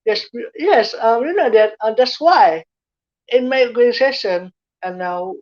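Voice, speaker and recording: A woman's voice: fairly high pitch, a little slow, darkish tone. Hesitant Indonesian-accented woman, muffled. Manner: hesitant, many fillers and restarts. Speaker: guest, youth speaker. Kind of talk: online interview.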